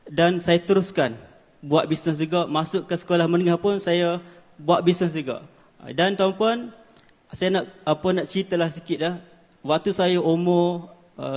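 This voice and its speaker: A man in his twenties giving an earnest speech at an award ceremony, with many hesitant fillers. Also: on the high side. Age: 20s. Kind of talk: formal stage speech. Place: large ceremony hall. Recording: hall reverb.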